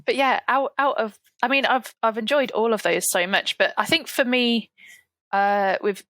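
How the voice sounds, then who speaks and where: A woman on a gaming podcast panel, chatting casually and rambling a little, her voice going hoarse. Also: a little high, normal speed, fairly bright tone, dry recording. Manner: rambling and self-deprecating, with frequent fillers. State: losing her voice.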